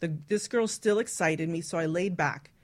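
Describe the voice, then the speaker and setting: A woman's voice: lowish voice, moderate pace. A woman hosting a radio talk show, chatting casually and candidly and reading out listener stories.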